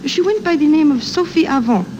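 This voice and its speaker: A gossipy maid. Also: female voice, high-pitched, lively intonation, fairly quick. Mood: gossiping. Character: chatty young French maid, gossipy. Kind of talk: 1950s radio drama acting. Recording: hissy old radio recording.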